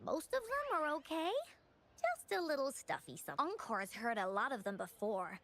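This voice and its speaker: A woman's voice: high voice, expressive, normal speed, a bit bright. A woman voicing a cheerful, bold little girl adventurer with an eager, confident, childlike manner in a video game. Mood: reassuring, confident. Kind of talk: English video game voice acting. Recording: game audio with background music. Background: game music.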